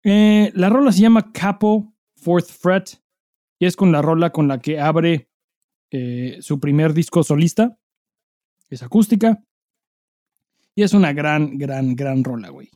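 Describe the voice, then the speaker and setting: Mellow, soft-toned Mexican man chatting. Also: on the high side, unhurried, pauses often, no echo, clean studio sound. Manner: informal, profanity-laden, acts out dialogue while telling anecdotes. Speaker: podcast co-host. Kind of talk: casual chat with slangy storytelling.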